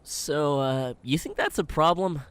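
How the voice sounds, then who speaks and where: A man's voice: a little high, measured pace, a bit bright, clear, resonant tone, no echo. A man playing a cocky young wasteland companion who talks earnestly and speaks his mind. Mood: concerned.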